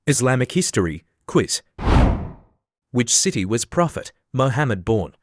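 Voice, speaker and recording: A man hosting a quiz, reading out questions and answers in a plain, measured way. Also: mid-range voice, no room sound, studio quality. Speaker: quiz host. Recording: clear steady background noise.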